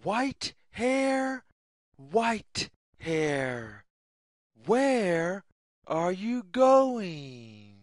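A woman reading a picture book aloud for young children in a deliberate, sing-song way, in short separated phrases. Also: mid-range voice, big pitch swings, no echo, clean studio sound. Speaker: children's story reader.

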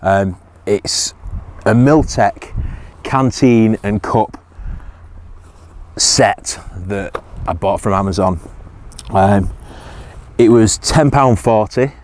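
A man's voice: on the low side, very slow, pauses often, darkish tone. A man, casually reviewing survival gear outdoors on a hillside, hesitant with many ums and restarts.

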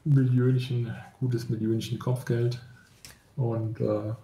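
A man with a deep voice chatting casually and jokingly while gaming with others. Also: flat delivery, slow pace, mellow. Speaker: gaming stream co-player. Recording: roomy voice chat, faint noise.

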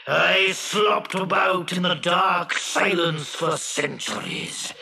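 Slow, gravelly, booming wrathful giant genie. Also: male voice, bright, forceful tone, a little hiss or hum, heavily acted. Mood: bitter. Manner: slow, bellowing, theatrical delivery. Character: enormous wrathful male genie, booming and vengeful. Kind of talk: children's storybook character voice. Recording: echoing, distant-sounding reverberant voice.

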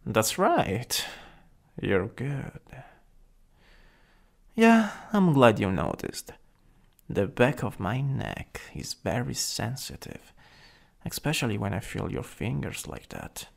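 A man with an Italian accent playing a jealous, flirtatious supervillain in an intimate ASMR roleplay, breathy and often whispering. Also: medium pitch, sing-song, full character voice. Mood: coaxing, flirtatious. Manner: slow, teasing, lingering delivery. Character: jealous, possessive, teasing flirtatious male supervillain.

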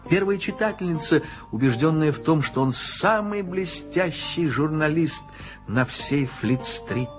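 A man narrating a 1980s radio play in a measured storytelling manner. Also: fairly high pitch, animated. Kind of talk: scripted literary narration. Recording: lo-fi archival radio recording with music.

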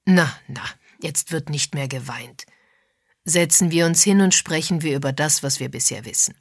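A woman's voice: sits low, normal speed, husky, no echo, clean studio sound. A woman voicing a composed, practical man, a methodical amateur sleuth in an audiobook. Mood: brisk, methodical. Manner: methodical, explanatory.

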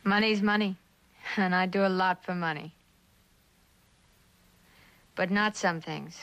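An actress playing a blunt, streetwise young delinquent with a slangy, defiant way of talking in a vintage suspense drama. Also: female voice, on the low side, steady tone, takes it slowly, fairly bright tone. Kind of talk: 1960s television suspense drama acting.

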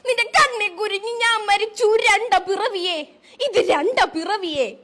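A woman with an extremely high voice takes part in a prank call. She comes across as playful. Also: moderate pace, sharp tone. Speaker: prank caller.

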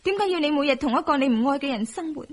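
An actress with a Hong Kong Cantonese accent playing a young, devoted, self-sacrificing wife in a radio drama, plaintive and earnest. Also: female voice, sits high, lively intonation, rapid-fire. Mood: sad, pleading.

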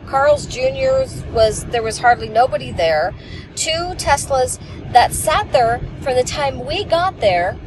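A woman's voice: high-pitched, lively intonation, takes it slowly. A woman in her forties, a passenger telling a rambling road-trip story in casual chat, recorded from the dashboard of a moving car. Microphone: camera on the dashboard. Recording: clear road noise in a car.

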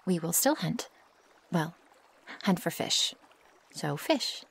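A woman playing a naive, impatient young werewolf in an ASMR roleplay, speaking softly and breathily in stilted, formal phrasing. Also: lowish voice, big pitch swings, takes it slowly, full character voice. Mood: earnest, curious. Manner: stilted formal phrasing without contractions, often breathy or near-whispered. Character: feral young female werewolf, naive, curious, impatient, possessive. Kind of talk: ASMR roleplay, intimate one-sided dialogue.

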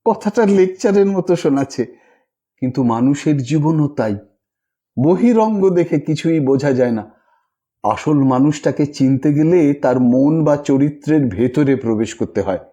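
Man voicing a slow, gentle holy woman. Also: a little high, pitched below the performer's own voice. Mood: gentle, instructive. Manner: calm, reflective, softly admonishing. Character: gentle, wise, melancholy female wandering ascetic.

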